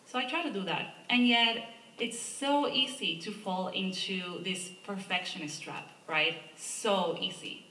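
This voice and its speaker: A woman's voice: mid-range voice, a little slow, pushed and bright, slight background noise. A woman from Argentina with an Argentine Spanish accent, giving an earnest panel statement about her advocacy work and often tagging "right?" onto her points. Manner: earnest, with rhetorical "right?" asides. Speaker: environmental advocacy director, panelist. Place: museum lecture hall. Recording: echoey room, mic far off.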